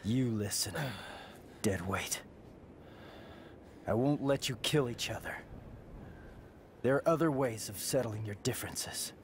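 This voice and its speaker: A man's voice: mid-range voice, measured pace, pauses often, slight background noise, natural voice. A man acting a defiant, hot-headed young demon hunter in a video game, with intense, emphatic delivery. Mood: angry, forceful. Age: young adult.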